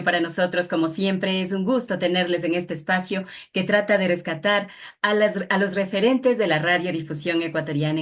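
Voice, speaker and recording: A woman with an Ecuadorian accent presenting an interview programme in a formal, courteous manner. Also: on the low side. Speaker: radio programme host and interviewer.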